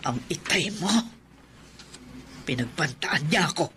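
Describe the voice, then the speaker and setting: An actor playing a vengeful widow sorceress in a radio horror drama, making slow, deliberate, menacing threats. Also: medium pitch, lively intonation, bright, forceful tone, a little hiss or hum.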